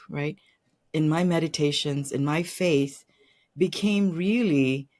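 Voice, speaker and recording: A woman's voice: sits low, a little mellow. A woman from Singapore, a guest on a podcast, telling her career story in a reflective, fluent way, often adding "right?" and "you know". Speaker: tech executive. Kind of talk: casual interview storytelling.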